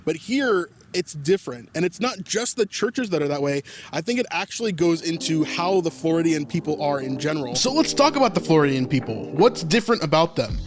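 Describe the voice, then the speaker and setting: A man hosting a faith vlog, talking casually and explaining things, often filling pauses with "like". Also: a little high, lively intonation. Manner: chatty, with frequent "like" fillers. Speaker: vlog host.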